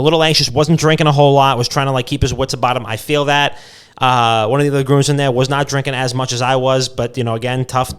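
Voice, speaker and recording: A man's voice: mid-range voice, clean tone. A young man co-hosting a podcast, telling casual, jokey stories with plenty of swearing. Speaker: podcast co-host. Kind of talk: casual comedic podcast banter and storytelling.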